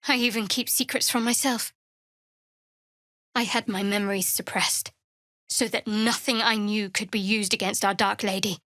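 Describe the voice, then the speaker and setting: An actress playing a guarded, sardonic young priestess of a dark goddess in a video game, with a dry, secretive manner. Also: female voice, medium pitch, steady tone, moderate pace, dry recording, lightly acted. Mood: solemn, guarded.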